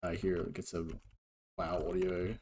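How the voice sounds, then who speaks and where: A man's voice: deep voice, completely flat delivery, unhurried, background noise. A young man streaming, chatting casually in a joking, laid-back way, swearing and laughing often. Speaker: VTuber streamer.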